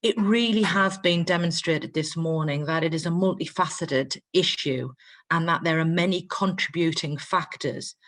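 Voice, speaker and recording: A woman's voice: fairly low pitch, moderate pace. A middle-aged woman, a university dean, giving an earnest welcome speech over a video call, with frequent ums. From not from Greater Manchester. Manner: warm, earnest, with frequent um fillers.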